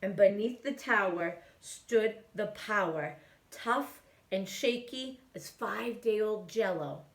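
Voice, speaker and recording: A woman reading a children's picture book aloud in a theatrical way, with hushed asides and exclamations. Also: mid-range voice, slow pace, echoey room. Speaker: children's storytime reader.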